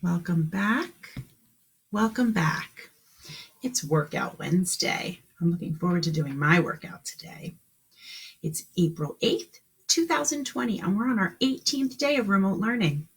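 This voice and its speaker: A woman's voice: mid-range voice, animated, a little slow, vocal fry, slightly rough. A woman teaching kindergarten on a live stream, speaking encouragingly to children in simple questions and instructions.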